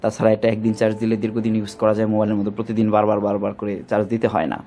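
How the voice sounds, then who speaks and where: A man with a Bangladeshi Bengali accent, giving a product demonstration as a shop salesman, with rapid, repetitive sales talk. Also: lowish voice, flat delivery, warm tone.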